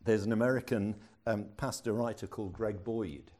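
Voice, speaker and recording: A man's voice: fairly low pitch, steady tone, moderate pace, darkish tone. An older man, a pastor preaching a sermon in a chatty storytelling way with self-deprecating humor.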